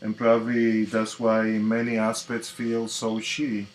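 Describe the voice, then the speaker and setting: Soft-spoken man with a Dominican Spanish accent. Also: on the low side, completely flat delivery. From Dominican Republic. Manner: slow, soft-spoken, reflective, casual. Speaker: tarot reader. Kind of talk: live-stream tarot reading. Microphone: computer mic on a live stream. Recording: echoey room with faint noise.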